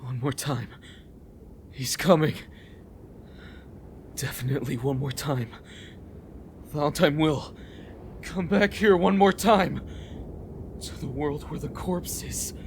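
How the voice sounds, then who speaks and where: A man's voice: on the high side, takes it slowly, long pauses. A man playing a desperate, distrustful young fighter in an anime fan dub, agitated and defiant. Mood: tense, desperate. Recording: background noise behind voice.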